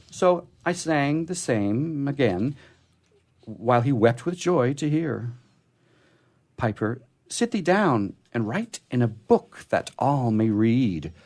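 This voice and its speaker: A man hosting a poetry program, speaking in a halting, expressive way as he talks casually and reads verse. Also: on the low side. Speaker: radio show host reading poetry. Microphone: studio microphone he drifts away from.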